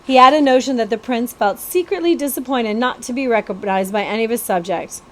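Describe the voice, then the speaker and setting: A woman's voice: fairly high pitch, a little fast, fairly bright tone. A woman reading a children's novel aloud as a storybook narrator.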